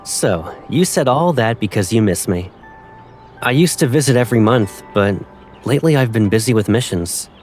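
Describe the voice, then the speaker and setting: A man's voice: medium pitch, expressive, moderate pace, darkish tone, lightly acted. A man playing a young pilot in an audio drama, playful and protective, teasing a childhood friend with affection. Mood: caring. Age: young adult. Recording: faint music behind the voice.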